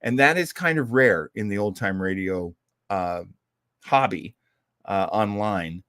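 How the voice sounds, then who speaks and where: A man's voice: fairly low pitch, a little slow, fairly bright tone, no echo, clean studio sound. A man hosting an old-time radio program, giving casual commentary in a chatty, folksy, opinionated way.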